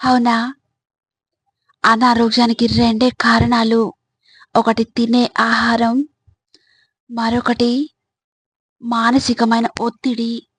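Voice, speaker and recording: A woman delivering scripted motivational advice in a measured, instructive way, speaking directly to the listener. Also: on the high side, level tone, husky, no room sound.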